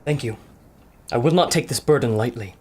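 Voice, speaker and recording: A man's voice: medium pitch, brisk, darkish tone, husky, close to an everyday voice. A man playing an earnest, polite scholar and doctor. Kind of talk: radio play acting.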